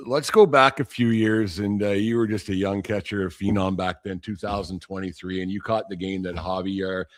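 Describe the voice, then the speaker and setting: A man's voice: low-pitched, fairly even intonation, normal speed, no echo. A man hosting a casual baseball podcast interview, asking rambling, jokey questions with false starts.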